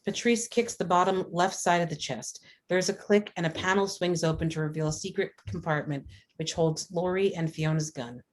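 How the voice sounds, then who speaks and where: A woman reading scripted stage directions as a narrator, in a measured, descriptive manner. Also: on the low side.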